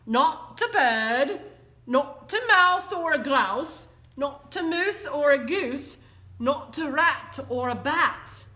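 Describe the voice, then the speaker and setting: A woman's voice: sits high, sharp tone, echoey room, voice pushed up, full character voice. A woman voicing a stern, exasperated mother cat from a storybook, scolding with heavy emphasis.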